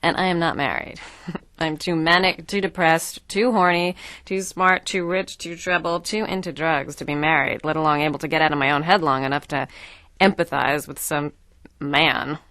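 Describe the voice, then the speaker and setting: A woman's voice: low voice, fairly even intonation, normal speed, fairly bright tone, dry recording, studio quality. Woman playing a manic, brash young female author. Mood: manic, rambling. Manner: flippant, rambling, self-dramatizing. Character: manic, brash, self-absorbed thirty-year-old female author. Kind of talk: satirical audio drama.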